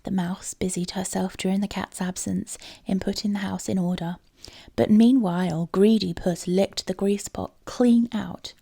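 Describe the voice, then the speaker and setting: A woman storyteller reading a fairy tale aloud in an expressive style. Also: fairly low pitch, gravelly, slightly rough.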